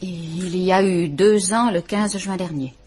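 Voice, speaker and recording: A woman's voice: on the low side, a little slow, a little mellow, slightly rough. An actress playing a frightened, dignified woman of about thirty in a vintage radio drama, composed, formal and restrained. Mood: restrained, bitter. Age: 30s. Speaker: woman reporting her husband.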